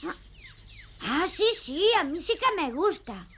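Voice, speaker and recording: Excitable, very high-pitched cartoon boy. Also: slow pace, a little hiss or hum, exaggerated voice. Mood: bashful bluster. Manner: excitable, exclamatory. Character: excitable, boastful young boy in the wilderness. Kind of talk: animated series dub acting. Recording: music under the voice.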